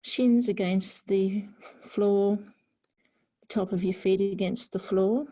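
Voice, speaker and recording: Dark-voiced woman gently guiding yoga. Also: mid-range voice, steady tone. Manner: gentle, unhurried guiding with pauses. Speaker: yoga teacher. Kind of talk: guided yoga class instruction. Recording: slightly dull, lo-fi sound.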